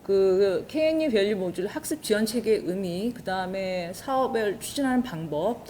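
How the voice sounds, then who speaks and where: A woman's voice: mid-range voice, lively intonation, normal speed, warm tone. A woman, a research professor, giving a formal, explanatory presentation of a university programme. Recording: faint background noise and ambience.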